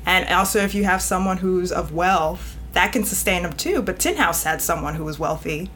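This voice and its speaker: A woman's voice: on the low side, normal speed, fairly bright tone, slight background noise. A woman guest editor talking frankly and at length in a casual podcast conversation, trailing off and restarting mid-thought.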